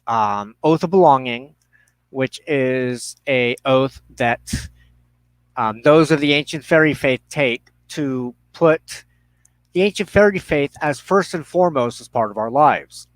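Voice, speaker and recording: A man hosting a livestream, making introductions and announcements in a halting, deliberate way with pauses mid-phrase. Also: mid-range voice, fairly bright tone, no room sound, low-quality recording. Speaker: host of a religious broadcast show.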